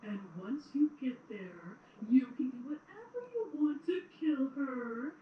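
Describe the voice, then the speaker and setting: High-voiced cruel scheming queen, roomy. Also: big pitch swings, phone-quality sound. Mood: sinister scheming. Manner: theatrical, commanding, drawn-out menace. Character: cruel scheming tyrant queen, menacing and imperious. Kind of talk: audio drama acting. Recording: roomy, distant playback with some noise.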